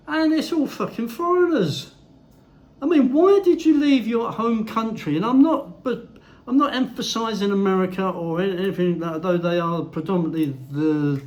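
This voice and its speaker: Blunt, swearing older man. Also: sits high. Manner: blunt, heavy swearing, ranting. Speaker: vlogger. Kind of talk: opinionated casual monologue.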